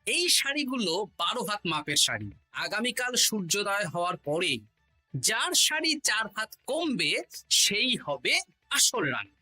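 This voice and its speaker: Bright, sly cartoon court jester. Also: medium pitch, sing-song, normal speed, no echo, faint music behind, exaggerated voice. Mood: confident. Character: clever, witty court jester man outsmarting an impostor. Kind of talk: cartoon voice acting.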